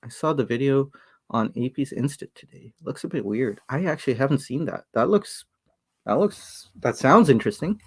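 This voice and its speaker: Man from Sri Lanka, livestream host, casual and hesitant, full of fillers and restarts, mid pitch. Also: lively intonation, moderate pace, husky. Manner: hesitant, many fillers and restarts, chuckles often. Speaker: livestream host reading chat.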